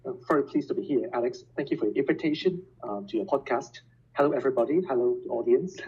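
Muffled lo-fi recording, man, Cantonese, very raspy medium-pitched voice, measured explanatory interview answers. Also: a little mellow. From Hong Kong. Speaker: podcast guest, scientist and educator. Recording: dull, muffled lo-fi sound.

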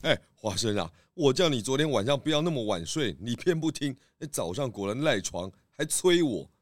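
一位男演員在兒童推理廣播劇中扮演一隻懶散又愛吃的豬探長，說話拖著嘟囔的語氣詞。. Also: male voice, medium pitch, expressive, no echo, studio quality, natural voice. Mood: scolding. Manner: grumbling, drawn-out interjections. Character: lazy, food-loving pig detective, adult male. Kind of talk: children's detective audio drama acting.

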